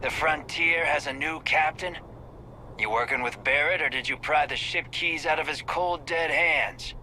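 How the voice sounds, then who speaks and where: A man's voice: on the high side, moderate pace, bright, forceful tone, a little hiss or hum, rough recording. A male actor playing a cocky, menacing space pirate in a video game, with informal, clipped tough talk. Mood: taunting. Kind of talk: scripted video game dialogue.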